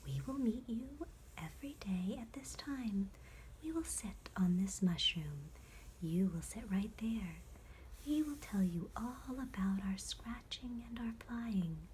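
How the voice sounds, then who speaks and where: A woman voicing contented, routine-bound butterflies in a measured whisper for an ASMR bedtime storybook. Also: medium pitch, animated, darkish tone, a little hiss or hum, voice pushed up, rough recording. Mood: earnest, friendly. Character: contented, set-in-their-ways butterflies, gentle and routine-bound.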